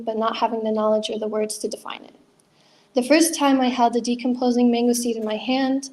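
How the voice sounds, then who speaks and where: A young woman performing her own spoken word poetry at an open mic, earnest and measured. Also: fairly high pitch, dark tone. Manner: earnest, measured reading with chatty asides.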